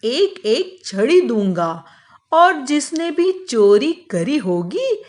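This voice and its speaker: Woman playing a high-voiced, clever royal adviser. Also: sing-song, takes it slowly, exaggerated voice. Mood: assured. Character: clever, self-assured male royal adviser Birbal. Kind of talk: Hindi children's moral cartoon dubbing.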